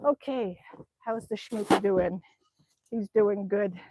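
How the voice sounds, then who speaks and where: A woman's voice: medium pitch, takes it slowly, warm tone, poor audio. A middle-aged woman from Alaska, chatting casually on a livestream outdoors in the snow and reading out viewer comments. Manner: chatty, reads out chat messages and answers them. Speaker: livestream host.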